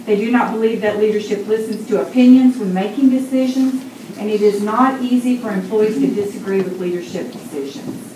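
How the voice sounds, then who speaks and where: A woman in her sixties with a loud voice presents a survey report to a board in a formal tone, with many fillers. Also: medium pitch, fairly quick. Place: boardroom meeting. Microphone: room mic from a distance. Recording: echoey room, distant, noisy.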